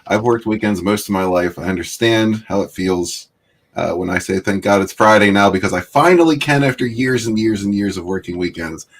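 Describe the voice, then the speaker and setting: A man's voice: fairly low pitch, brisk, slight background noise. A man hosting a casual livestream chat, greeting viewers by name and laughing often. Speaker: livestream host.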